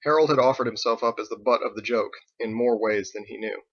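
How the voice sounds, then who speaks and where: A man's voice: medium pitch, quick pace, dull audio. A man reading scripted audiobook narration as the story's narrator. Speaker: author narrating his own story.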